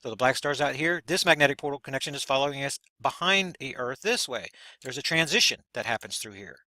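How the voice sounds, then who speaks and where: A man's voice: mid-range voice, lively intonation, a little fast, sharp tone, no echo. A man hosting a video, talking through a chart in a loose, rambling way, often repeating words as he points things out. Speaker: video host explaining a chart. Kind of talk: casual explanatory monologue.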